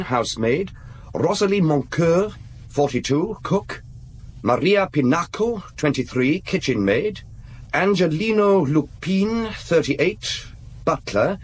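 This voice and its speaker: An actor playing a dutiful young police assistant in a radio drama, reporting to his chief in a deferential, brisk way. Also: a little high, slightly rough, heavily acted. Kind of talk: 1990s BBC radio drama acting.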